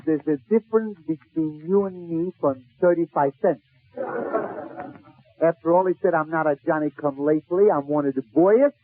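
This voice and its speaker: A man telling a comic story in 1940s radio style, acting out the dialogue as he goes. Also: sits high. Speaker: comedian. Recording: tinny narrowband old radio broadcast.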